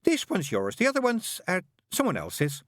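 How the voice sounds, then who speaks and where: A male audiobook reader voicing a flustered, chatty woman who is a nun and nurse, talking in a gushing, hurried way. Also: high-pitched, wildly swooping pitch, a bit bright, no echo, studio quality, pitched above the performer's own voice, exaggerated voice. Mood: flustered reassurance.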